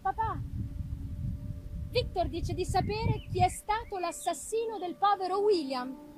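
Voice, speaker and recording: Woman as Ernest, high plaintive grieving boy. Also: measured pace, darkish tone, a little hiss or hum, rough recording. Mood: urgent. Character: Ernest, troubled, grieving younger brother. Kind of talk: dramatic gothic novel reading. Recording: music behind the reading.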